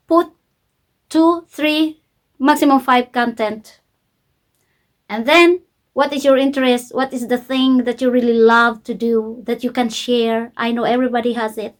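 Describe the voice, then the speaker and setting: Emphatic Filipino woman coach, higher-pitched voice. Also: steady tone, fairly bright tone. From Philippines. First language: Filipino language. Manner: simple, deliberate English; emphatic, repeats key points. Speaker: YouTube coach. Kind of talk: informal coaching talk to viewers.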